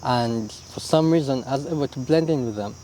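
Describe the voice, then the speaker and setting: A young man from Uganda, answering interview questions in a relaxed, reflective way, often tagging his lines with "yeah?" and "you know?". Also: medium pitch, a little fast, slight background noise. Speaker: interview guest, illustrator.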